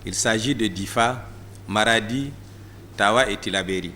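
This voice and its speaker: A man's voice: fairly low pitch, normal speed, pushed and bright. A man giving a formal opening speech as a programme representative. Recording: faint background noise at live event.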